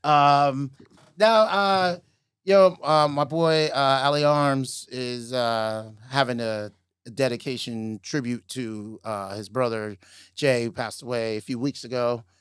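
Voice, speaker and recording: A middle-aged man with a raspy voice, co-hosting a podcast in a casual, laid-back way, with lots of fillers and laughs. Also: medium pitch, fairly even intonation, takes it slowly, fairly bright tone, no room sound, studio quality. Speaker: podcast co-host. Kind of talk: casual chat.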